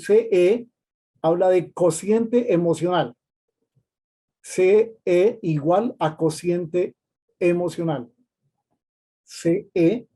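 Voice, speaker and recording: A middle-aged man with a Colombian accent from Cali leads a training lecture, speaking high in his range. His tone is piercingly bright and edged. He goes unhurriedly and repeats key terms as if dictating notes. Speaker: course instructor.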